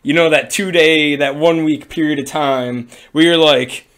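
Young male streamer, slightly high, raspy and hoarse from a cold, chatty and rambling, webcam sound in a small room. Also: steady tone, moderate pace, a bit bright. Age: young adult. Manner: rambling, many fillers and restarts. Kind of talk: casual livestream explainer. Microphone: webcam at desk.

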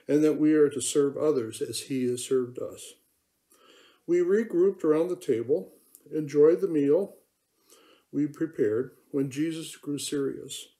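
Confessional apostle played by a man, mellow and dark, earnest dramatic monologue. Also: a little high, lightly acted. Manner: earnest, confessional, emotionally charged. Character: remorseful male apostle recalling his denial. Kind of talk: dramatic first-person monologue.

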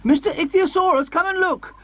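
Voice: very high voice, slight background noise. A storyteller voicing an eager, bossy young male dinosaur in a children's story. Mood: excited. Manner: eager, bossy, quick to panic.

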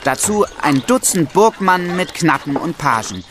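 Animated young squire. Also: male voice, fairly high pitch, normal speed, background noise. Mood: explaining. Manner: explanatory, enumerating. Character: eager, proud young medieval squire guiding visiting children. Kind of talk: children's audio drama acting.

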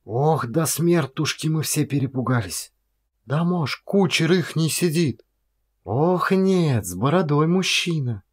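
A man's voice: a little high, animated, unhurried, slightly rough, pitched above the performer's own voice. A man voicing scared, superstitious village nuns in an audiobook, exclaiming in wailing, folksy chatter. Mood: alarmed.

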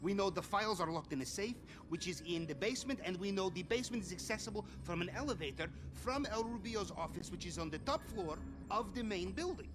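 An actor playing an eccentric, gloomy submarine captain in a video game, with a fretful, stilted way of talking. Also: male voice, high voice, expressive, fairly quick, few pauses, music in the background. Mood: businesslike.

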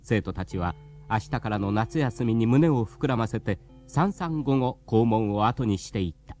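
A man's voice: fairly low pitch, expressive, a little slow, darkish tone, soft background music. A man reading scripted narration for a radio drama.

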